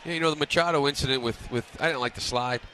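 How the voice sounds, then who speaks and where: A man's voice: mid-range voice, fast, sharp tone, some chatter behind, a little hiss or hum. An American man giving conversational, opinionated color commentary on a live baseball broadcast. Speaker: baseball radio color analyst. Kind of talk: unscripted live sports commentary.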